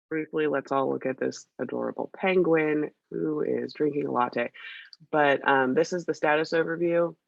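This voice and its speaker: A woman with a cold talks through a work update on a video call, her voice scratchy and gravelly. She hesitates often and fills pauses as she goes. The call audio is dull and dry. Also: low-pitched, no echo. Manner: chatty, hesitant, many fillers. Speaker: meeting presenter. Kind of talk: casual work meeting update.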